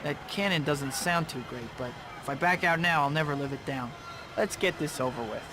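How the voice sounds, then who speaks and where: Male actor as cocky young game superhero, high voice, quippy, unimpressed, sarcastic. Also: fairly quick, background noise, close to an everyday voice. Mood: dry, taunting. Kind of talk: video game voice acting.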